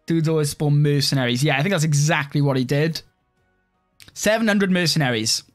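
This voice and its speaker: A young man talks through a live strategy-game stream in a relaxed, rambling way, answering chat as he plays. His voice sits a little high for a man. Soft music plays faintly behind him. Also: a little fast. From Wales. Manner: relaxed, rambling, heavy use of "like". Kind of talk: live-stream gameplay commentary, reading chat.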